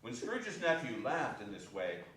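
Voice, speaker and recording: Animated male storyteller, very echoey. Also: medium pitch, a little slow. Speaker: narrator. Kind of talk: dramatic literary narration. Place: hall of arts center. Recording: echoey hall, distant mic, faint noise.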